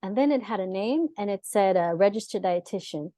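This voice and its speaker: A young adult woman, born in the US and raised in Israel, chatting as a dietitian guest in a lively way, full of fillers and laughs. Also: mid-range voice, brisk, no echo, clean studio sound. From born in the US, grew up in Israel. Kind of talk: casual interview talk.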